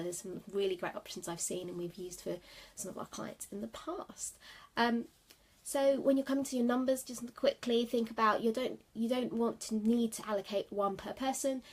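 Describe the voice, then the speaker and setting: A woman speaks in a husky, slightly breathy voice, sniffly from a winter cold. She talks in a loose, chatty way, full of fillers and asides, as she hands out wedding-planning tips. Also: mid-range voice. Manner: chatty, rambling, many fillers. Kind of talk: casual livestream tips. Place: office at night.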